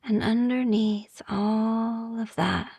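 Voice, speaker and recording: A woman guiding a sleep meditation in a soft, gentle, soothing ASMR style, hushed and often slipping into whispers, with long pauses. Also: a little high, steady tone, creaky, breathy edge, no room sound. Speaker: sleep meditation podcast host.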